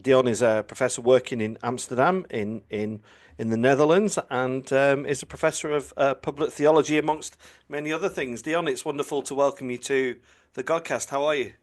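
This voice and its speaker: A man's voice: medium pitch, fairly even intonation, a little fast. A man, a priest, hosting a podcast interview in a friendly way with hesitations and repeated words.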